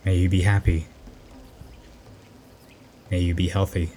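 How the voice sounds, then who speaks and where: Male meditation narrator, low register and warm dark tone, gentle and unhurried delivery, faint noise behind the voice. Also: flat delivery. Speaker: meditation guide.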